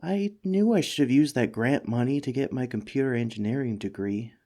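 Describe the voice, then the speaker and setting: A man's voice: mid-range voice, a little fast, mellow, natural voice. A man voicing an anxious, self-deprecating mimic monster living as a talking couch, hesitant and pleading in a comedic ASMR roleplay. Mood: dejected, self-pitying. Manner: hesitant, pleading, stammering, rambling.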